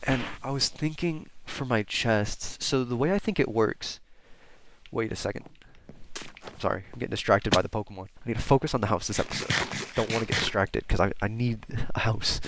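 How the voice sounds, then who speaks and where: A man's voice: on the low side, brisk, a bit bright, slight background noise. A congested young man with a cold, chatting casually over his gameplay and rambling with fillers and restarts. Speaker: gaming YouTuber. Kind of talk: casual Let's Play commentary.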